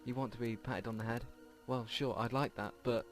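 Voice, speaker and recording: A man's voice: mid-range voice, fairly even intonation, fairly quick. A man hosting a let's play, reading visual novel text aloud and stumbling over words now and then. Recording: game music behind the voice. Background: game music.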